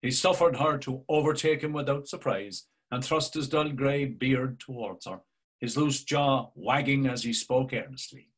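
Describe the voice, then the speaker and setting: An older man narrating a novel in a measured, deliberate way over a video call. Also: mid-range voice. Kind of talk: scripted literary narration. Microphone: webcam on a video call.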